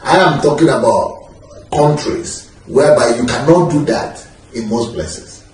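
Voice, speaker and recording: A man talking in a relaxed, emphatic way and gesturing freely as he lounges on a sofa in a living room, recorded from a distant camera. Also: mid-range voice, unhurried, slight background noise. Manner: animated, gesturing, emphatic with laughs. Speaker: pastor being interviewed. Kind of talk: relaxed conversational interview. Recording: echoey room, mic at a distance.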